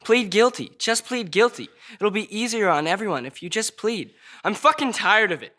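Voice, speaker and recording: Guy doing a mouthy teen delinquent, sharp bright voice, sarcastic n sweary, crime drama table read. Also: high voice, expressive, moderate pace. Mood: angry, defiant. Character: defiant, foul-mouthed teenage boy accused of murder.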